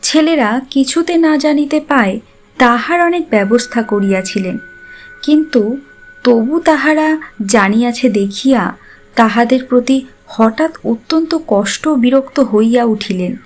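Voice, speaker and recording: A woman's voice: a little high, expressive, a little slow. A woman reading literary narration as the storyteller of an audio drama. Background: background music.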